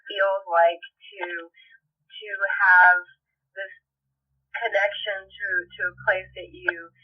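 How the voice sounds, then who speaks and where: A woman from Puerto Rico, an actress guest, talking thoughtfully with many halting restarts in a podcast interview. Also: medium pitch, little pitch movement. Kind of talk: podcast interview over the phone. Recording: muffled phone line, far from the mic.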